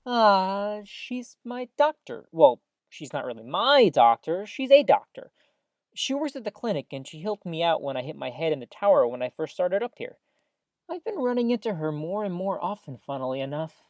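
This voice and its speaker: An actress playing a friendly, easygoing radio co-host in an audio drama, bantering and musing in a conversational way. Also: female voice, lowish voice, moderate pace, dry recording. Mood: musing, amused. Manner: animated, musing, trails off.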